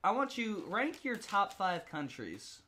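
A man's voice: high-pitched, sing-song, measured pace, bright, forceful tone. A man hosting a casual comedy podcast, playful and teasing as he steers the chat. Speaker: host.

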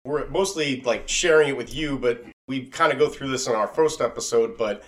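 A man's voice: mid-range voice, moderate pace. A man hosting a podcast in a casual, rambling and enthusiastic chatty style. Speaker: podcast host. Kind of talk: casual chat.

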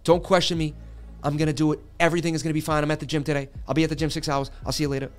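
A man's voice: a little high, level tone, rapid-fire, soft background music, close to an everyday voice. A man putting on a cocky, macho male movie star with a Boston accent.

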